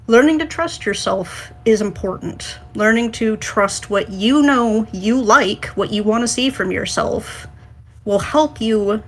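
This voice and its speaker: A woman talks in a middle-pitched voice as a guest on a panel. She is earnest and direct, stressing her points as she gives conversational advice about her craft. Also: a bit bright. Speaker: writer and podcast panelist.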